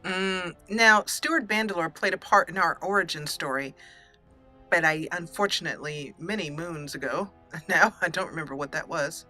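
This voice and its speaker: Woman, bright voice, chatty game commentary, giggly, roleplay line reading, gaming channel host. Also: fairly low pitch, normal speed, faint music behind. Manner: chatty, giggly, slips into reading lines in character. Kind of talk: let's play roleplay commentary.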